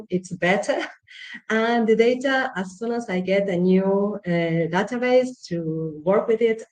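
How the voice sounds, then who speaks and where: A woman's voice: lowish voice, a little mellow. Argentine woman, roomy echoey sound. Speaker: guest presenter, data visualization consultant. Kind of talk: informal self-introduction to an audience. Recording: roomy echo on online call.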